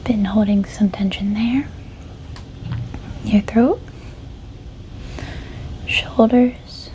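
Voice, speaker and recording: A woman leading a guided meditation in a soft-spoken ASMR style, gentle and unhurried, with a breathy voice that often drops close to a whisper. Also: fairly high pitch, a little hiss or hum, poor audio. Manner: gentle, unhurried, soothing instruction.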